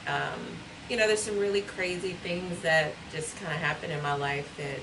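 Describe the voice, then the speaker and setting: A woman's voice: low voice, normal speed, creaky. A woman stage actor talking about her role in an easygoing, rambling way. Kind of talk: casual interview chat. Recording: distant mic with noisy background.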